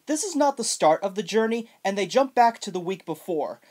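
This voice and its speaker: A woman's voice: mid-range voice, very animated, brisk, darkish tone. Woman narrating a scripted video review.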